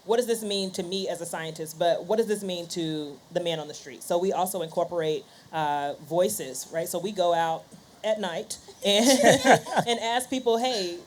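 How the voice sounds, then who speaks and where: A woman's voice: lowish voice, moderate pace, a little hiss or hum. A woman podcast host speaking conversationally on a panel, voicing little quotes as she explains.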